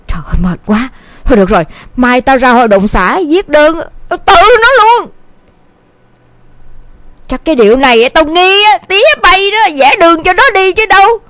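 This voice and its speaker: A woman's voice: sits high, no echo. Casting: fiery middle-aged village mother played by a woman, southern Vietnamese accent, swooping delivery, scolding and exasperated, dry audio-story recording. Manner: scolding, exclamatory, dramatic. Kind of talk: dramatised audio story acting.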